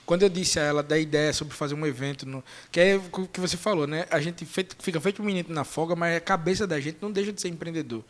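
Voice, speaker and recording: A man hosting a casual podcast chat, lively and joking. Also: on the high side. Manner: lively, joking, quick-talking. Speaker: podcast host.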